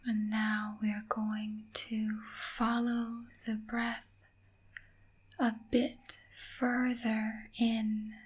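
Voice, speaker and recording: A woman softly whispering a guided ASMR meditation in a gentle, calming manner, leaving long pauses between short phrases. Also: a little high. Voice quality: soft, breathy whisper.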